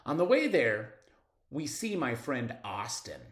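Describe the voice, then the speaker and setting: A man reading a children's story aloud expressively, with dramatic pauses and drawn-out words. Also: medium pitch, very animated, a bit bright. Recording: roomy, echoing sound.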